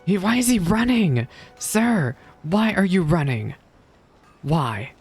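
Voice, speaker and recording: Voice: medium pitch, very animated, slow pace, a little mellow, husky. Playful streamer reacting over faint game music. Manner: playful, self-deprecating. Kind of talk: casual gameplay reaction commentary.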